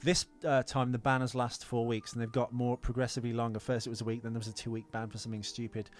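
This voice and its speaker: A man's voice: medium pitch, little pitch movement, fast talker. A man co-hosting a casual film podcast, talking in an opinionated, rambling way with plenty of swearing. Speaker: podcast co-host.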